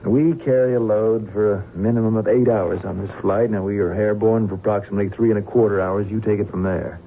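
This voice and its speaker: An actor playing a blunt, sardonic airline captain held hostage, in an old-time radio drama. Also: male voice, on the low side, fairly even intonation, a little fast, creaky. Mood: wary, blunt. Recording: tinny, hissy old radio recording.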